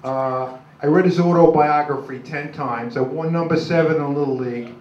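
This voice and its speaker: An older man with a New York accent does halting, self-deprecating, rambling stand-up comedy. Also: a little high, steady tone, a little mellow, distant mic. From Brooklyn, New York, USA. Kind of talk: live stand-up comedy, crude jokes. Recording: dull, noisy, echoey club recording from afar.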